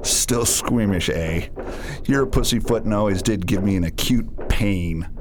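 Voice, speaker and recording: Guy doing a arrogant evil scientist for space radio drama, bossy n scornful, kinda low voice, noisy audio. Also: measured pace, lightly acted. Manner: scornful, domineering. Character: arrogant, ruthless male villain scientist in space opera.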